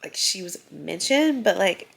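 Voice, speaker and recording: Congested young woman with vocal fry. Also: medium pitch, big pitch swings, a little slow, a bit bright. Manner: chatty, heavy use of filler "like". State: congested, stuffy nose. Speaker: book vlogger. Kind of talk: casual rambling vlog chat.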